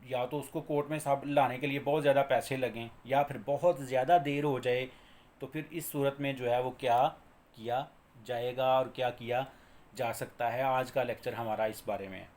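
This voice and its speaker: A man's voice: mid-range voice, fairly even intonation, moderate pace, darkish tone. A man with a Pakistani accent lecturing informally on law, explaining by repeating and rephrasing, with English terms mixed in.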